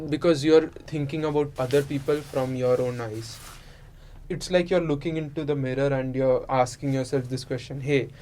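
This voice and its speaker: Guy with a mid pitched voice explaining stuff thoughtfully, casual, slight background noise. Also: warm tone. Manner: reflective, explanatory. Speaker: participant in an unscripted discussion. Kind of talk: casual philosophical chat. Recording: noisy room ambience.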